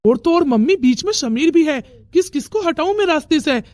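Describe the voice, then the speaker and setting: A high, dry-sounding voice of a woman playing a brash young man in a family melodrama cartoon. The delivery is dramatic and confrontational, full of defiance. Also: expressive, a little fast, darkish tone, dry recording. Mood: scheming, frustrated. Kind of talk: Hindi moral-story cartoon dialogue.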